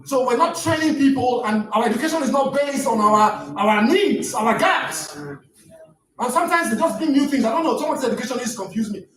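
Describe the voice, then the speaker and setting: A middle-aged Nigerian man, a native Yoruba speaker, giving a lecture. He speaks with passion, rises to near shouts and stammers on his restarts. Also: very high-pitched, moderate pace. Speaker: guest lecturer. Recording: echoey room, distant mic, faint noise.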